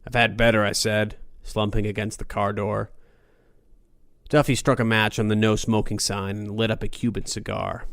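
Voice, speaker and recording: A man's voice: fairly low pitch, flat delivery, moderate pace, dry recording, clean studio sound. A man reading a first-person noir story as an audiobook narrator, in a gritty, dramatic manner.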